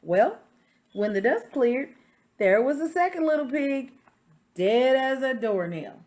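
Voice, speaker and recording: Woman as an animated, aggrieved storybook wolf. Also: high voice. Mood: matter-of-fact, sly. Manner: dramatic, emphatic, with drawn-out stressed words. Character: aggrieved male wolf telling his side, folksy and self-justifying. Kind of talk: storybook read-aloud for children.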